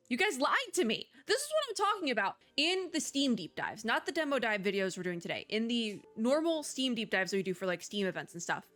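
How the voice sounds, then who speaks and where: A woman's voice: on the high side, a bit bright. Chatty woman hosting game videos. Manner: chatty, rambling, informal. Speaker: video game demo host. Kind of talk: casual unscripted game commentary.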